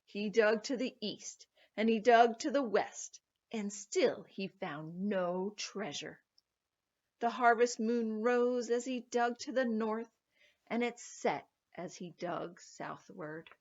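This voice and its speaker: A woman reading a children's story aloud in an expressive, dramatic storytelling manner. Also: on the high side, takes it slowly, dull audio. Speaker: storybook reader.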